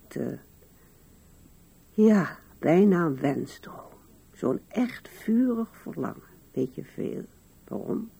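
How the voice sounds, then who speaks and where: An actress in a 1970s radio play voicing an old widow who muses hesitantly and wistfully, with a gravelly, husky voice. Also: female voice, low voice, mellow, exaggerated voice. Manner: hesitant musing with sighs and murmurs. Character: musing old widow Anna, wistful, fond, wryly amused. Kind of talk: 1970s Dutch-language radio play acting.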